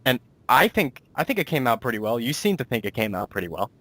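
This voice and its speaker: A man's voice: medium pitch, very animated, fast, pushed and bright, no echo. A man hosting a casual interview as a writer and producer, talking in a rambling, self-deprecating way.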